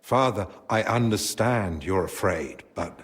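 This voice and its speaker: An actor playing a learned royal physician in a medieval game drama, speaking in a measured, diplomatic, educated way. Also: male voice, low voice, lively intonation. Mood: reasoning, urging. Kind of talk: dramatic video game cutscene acting.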